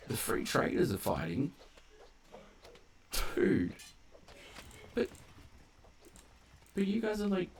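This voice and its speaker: A man talks through a game in a casual, unhurried way, with a lively, swinging pitch. His voice often drops into a creaky fry as he mutters asides and reacts aloud. There is some background noise behind him. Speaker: gamer narrating a playthrough. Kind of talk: casual gameplay commentary.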